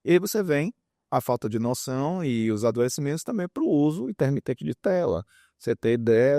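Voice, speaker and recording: Man, fifties, animated swooping intonation, close dry sound, opinionated interview talk. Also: mid-range voice, no echo. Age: 50s. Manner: opinionated, acts out quoted remarks, repeats words for emphasis. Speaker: guest psychologist and author. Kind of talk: interview talk, explaining with examples.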